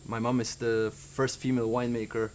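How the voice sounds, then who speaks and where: A young man with an Argentine accent, a winemaker answering interview questions in a measured way, with fillers and restarts. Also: medium pitch, level tone, darkish tone, a little hiss or hum. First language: Spanish. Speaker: winemaker guest.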